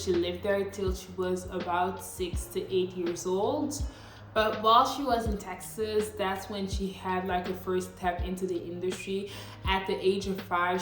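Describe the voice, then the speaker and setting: A woman in her late teens, a non-native English speaker, chatting upbeat in a casual scripted vlog with slangy asides. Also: medium pitch. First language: not English. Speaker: vlogger. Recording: echoey room with faint music.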